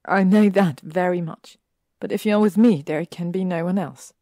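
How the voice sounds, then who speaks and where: A fierce, clever young witch in a spoken fan story, played by a woman reading it. She speaks earnestly and leans hard on her words. The voice is low-pitched and dry, with no echo around it. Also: animated, normal speed.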